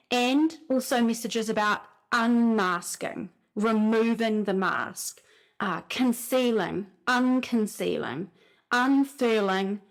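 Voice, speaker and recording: New Zealand woman telling spiritual stories. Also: mid-range voice. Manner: animated storytelling, frequent "like" fillers. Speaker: spiritual speaker. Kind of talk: casual spiritual talk.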